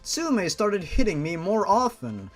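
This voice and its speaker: Young male reader, fairly high pitched and animated, mocking and swearing between lines. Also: unhurried, soft background music. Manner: sarcastic, swears freely, breaks off to mock the text. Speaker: channel host reading fan fiction. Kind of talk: story reading with sarcastic commentary.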